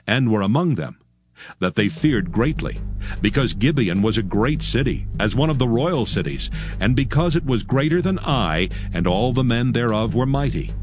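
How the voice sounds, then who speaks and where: Low-voiced male scripture narrator. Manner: formal, measured storytelling. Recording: music bed under the narration.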